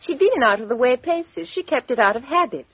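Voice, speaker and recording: An actress playing a composed, outspoken young Englishwoman with a crisp, frank upper-class delivery in a 1950s radio drama. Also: high voice, lively intonation, brisk, heavily acted. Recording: dull 1950s radio recording.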